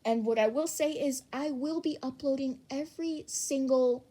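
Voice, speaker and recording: A young woman narrates a piece of fan fiction. Her voice sits fairly high and she reads as a scripted audiobook narrator. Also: moderate pace, mellow.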